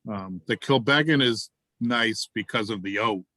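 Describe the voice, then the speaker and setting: A man's voice: on the low side, measured pace, pushed and bright, no room sound. An easygoing man moderating a virtual tasting, putting questions to the group on a video call.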